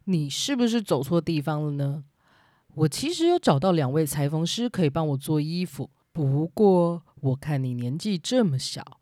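A woman voicing a vain, amused king in a children's story, with a pompous, drawn-out delivery. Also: sits low, dark tone, no room sound, studio quality, heavily acted. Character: grand, amused, vain old-fashioned king, adult man.

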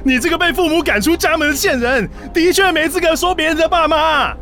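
An actor playing a short-tempered, defensive young boyfriend in a radio drama, with a Taiwanese accent and a taunting delivery. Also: male voice, very high voice, fairly quick, creaky, bright, forceful tone, faint music behind, exaggerated voice. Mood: defensive, furious. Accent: Taiwanese Mandarin.

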